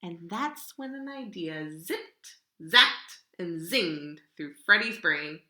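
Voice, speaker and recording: A woman reading a children's picture book aloud in an expressive, dramatic way, drawing out key words. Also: fairly low pitch, slow, echoey room. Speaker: storybook reader.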